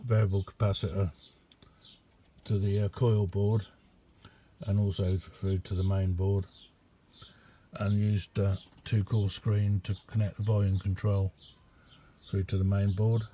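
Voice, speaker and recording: A middle-aged man with a lowish, very mellow voice talks casually through a hobby demonstration. His delivery is unhurried and halting, with colloquial phrasing. He is recorded indoors with some faint noise behind him. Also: flat delivery. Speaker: radio hobbyist showing a homemade receiver. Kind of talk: casual hands-on demonstration vlog. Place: indoor hobby radio room.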